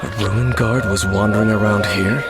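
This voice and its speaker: Man voicing a grave, mysterious wandering swordsman, lowish and measured, loud game music under it. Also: fairly even intonation, lightly acted. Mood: solemn. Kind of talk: video game voice acting.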